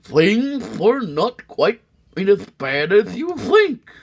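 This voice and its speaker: A man voicing a cartoon cat salesman, a smooth swindler with a loud, lisping, slurred voice and showy, wheedling patter. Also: high-pitched, wildly swooping pitch, drawn-out words, voice pushed up, exaggerated voice. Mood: slick persuasion.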